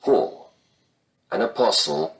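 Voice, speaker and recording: A man giving a solemn scripture reading in a measured, formal delivery. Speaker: scripture reader.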